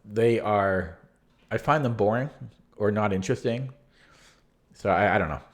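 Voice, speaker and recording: A man's voice: fairly low pitch, moderate pace. A man, losing his voice, hesitantly and candidly talking through a chess game he played, reading from his notes. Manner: hesitant, many restarts, relaxed and candid. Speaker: chess channel host reviewing his own game. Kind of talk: casual game analysis from notes.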